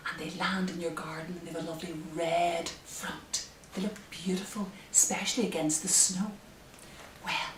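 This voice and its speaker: A middle-aged woman presenting story time for young children, expressive and animated, in simple phrases addressed to little ones. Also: fairly low pitch, a little hiss or hum. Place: living room, indoors. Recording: roomy, distant camera microphone.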